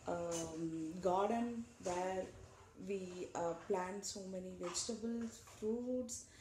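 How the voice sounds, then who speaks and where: Slow, mellow-voiced Indian mom. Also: female voice, mid-range voice, echoey room, a little hiss or hum, poor audio. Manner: simple, gentle, halting non-native English. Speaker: mom being interviewed by her child. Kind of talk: casual family interview answers.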